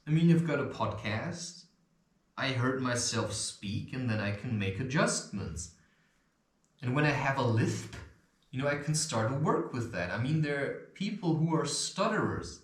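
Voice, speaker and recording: A man with an occasional stutter giving loose, rambling spiritual advice, swearing and chuckling as he talks. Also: mid-range voice. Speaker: self-help video host. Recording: echoey room.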